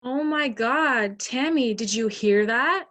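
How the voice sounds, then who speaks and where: A woman puts on a hateful teenage queen bee in a stage comedy-drama. She drawls with scorn in a high, snooty voice. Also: takes it slowly, a bit bright. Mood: scornful, gossipy. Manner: valley-girl drawling, scornful. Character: snooty, hateful teenage mean-girl bully. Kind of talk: amateur stage play acting.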